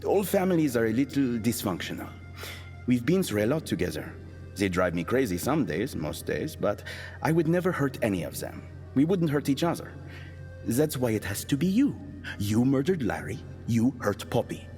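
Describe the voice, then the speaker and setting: Actor as dry, sarcastic hitman, lively intonation. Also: medium pitch, normal speed, big-room sound, soft background music, natural voice. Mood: grave, guarded. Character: irritable, world-weary hired killer interrogating a woman. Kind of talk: audio drama acting.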